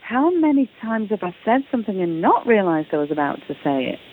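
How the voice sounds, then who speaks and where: A woman's voice: mid-range voice, sing-song, a little fast, background noise. A woman with an English accent, a guest author talking eagerly and often repeating words as she starts sentences. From England. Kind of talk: casual interview talk. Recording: tinny phone line.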